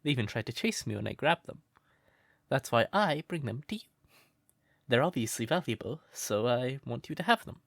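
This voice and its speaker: A man playing a shy, sweet, curious crow turned human in a roleplay audio, speaking gently and breathily with hesitant stammers. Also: medium pitch, big pitch swings, moderate pace, gravelly, no echo, clean studio sound. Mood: playful, proud. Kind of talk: soft roleplay audio, intimate character acting.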